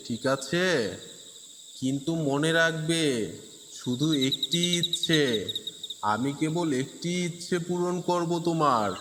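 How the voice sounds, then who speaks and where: A man playing a patient, firm wish-granting spirit in a children's fairy-tale cartoon, speaking in a measured, emphatic way. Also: on the high side, lightly acted. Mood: reassuring, firm. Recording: long reverb, noisy night insect ambience.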